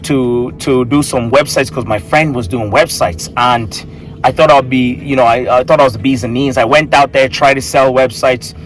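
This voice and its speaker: A man's voice: mid-range voice, steady tone, normal speed, soft background music, poor audio. A man in his thirties, from Tanzania and raised in Ireland. He works as a marketing coach and talks casually to camera from an office booth, rambling and correcting himself as he goes. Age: 30s. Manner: rambling, restarts and self-corrections. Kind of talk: casual unscripted talk to camera.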